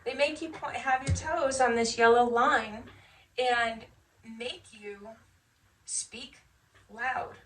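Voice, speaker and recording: A middle-aged American woman with a soft voice, casually telling personal stories as a veteran being interviewed. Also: fairly high pitch, slow pace. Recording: distant mic with faint noise.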